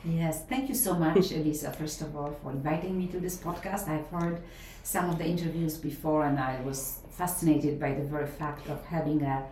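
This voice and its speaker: A woman's voice: sits low, steady tone, moderate pace, few pauses, mellow, slight background noise. A middle-aged woman from Poland with a Polish accent, a professor answering interview questions thoughtfully, with many fillers and restarts. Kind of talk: interview conversation. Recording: roomy, a bit distant from the mic, faint ambience.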